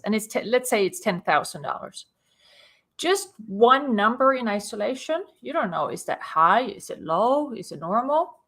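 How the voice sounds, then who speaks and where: A woman from Sweden, a guest on a video-call podcast, explaining her business story in a clear, methodical way with light laughs. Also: medium pitch, fairly bright tone. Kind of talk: interview storytelling.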